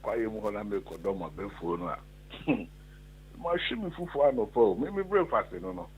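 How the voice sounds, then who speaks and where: A man's voice: fairly low pitch, animated, normal speed, a little hiss or hum. A man with a Ghanaian accent, calling into a radio talk show and arguing emphatically while mixing Twi and English. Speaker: radio caller. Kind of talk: phone-in discussion. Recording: tinny phone line.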